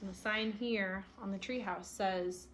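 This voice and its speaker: A woman's voice: medium pitch, fairly even intonation, unhurried, fairly bright tone, a little hiss or hum. A woman reading a picture book aloud and adding her own asides, as a storyteller does.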